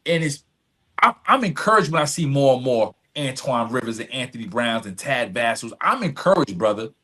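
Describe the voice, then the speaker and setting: A man's voice: medium pitch, very animated, normal speed, sharp tone. A middle-aged man telling stories as an interview guest, earnest and emphatic, repeating phrases and often checking in with "right?". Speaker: podcast guest, nonprofit executive director. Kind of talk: conversational interview storytelling.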